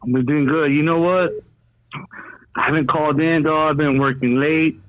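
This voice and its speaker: Male caller, high voice, phone-line sound, rambling slang. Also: steady tone, normal speed. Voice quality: slangy, rambling, laughing asides. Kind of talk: casual chat over phone. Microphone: phone call.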